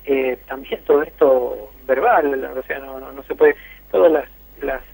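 A man's voice: medium pitch, a little slow, vocal fry, darkish tone, breathy edge. A man with an Argentine accent reporting by phone for a radio interview, hesitant, with many fillers and restarts. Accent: Argentine Spanish. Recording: tinny phone line.

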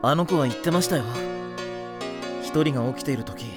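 A woman's voice: sits low, brisk, loud music behind the voice, lightly acted. A woman playing a kind, easily flustered teenage boy in an anime audio drama, with casual boyish speech. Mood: gentle, earnest.

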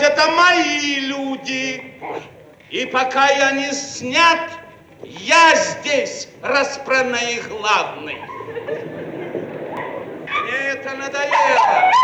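Bossy Soviet bureaucrat, very high voice, pompous oratory, satirical radio play, distant noisy archive recording. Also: male voice, echoey room, exaggerated voice. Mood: indignant. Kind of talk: theatrical satirical radio comedy acting.

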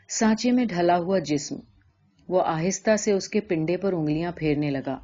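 A woman's voice: on the low side, darkish tone, highs cut off. A woman narrator reading a literary short story as scripted narration.

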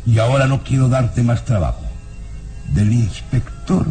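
An actor playing a scheming, menacing castle lord in a radio serial, with a theatrical, gloating manner. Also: male voice, medium pitch, animated, a little slow, warm tone, faint music behind, natural voice. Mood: firm, soothing. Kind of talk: old radio serial acting.

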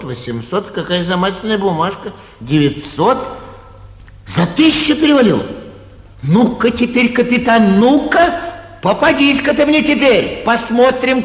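High-voiced, breezy young braggart. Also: male voice. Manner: breezy, careless, self-satisfied. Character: boastful, flighty young gentleman mistaken for an official. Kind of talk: theatrical 1940s stage comedy acting. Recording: echoey 1949 theatre radio production.

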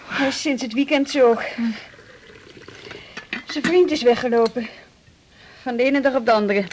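A woman's voice: sits high, a little slow, noisy background. An actress playing a kindly, plain-spoken woman on a hospital staff in a radio drama, matter-of-fact and sympathetic. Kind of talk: naturalistic Dutch radio drama dialogue.

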